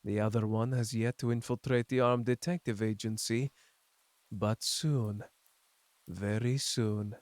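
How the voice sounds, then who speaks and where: A man acting a calculating, sinister spymaster villain in an audio drama, formal and measured in manner. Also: on the low side, fairly even intonation, darkish tone, dry recording, natural voice. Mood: coolly scheming.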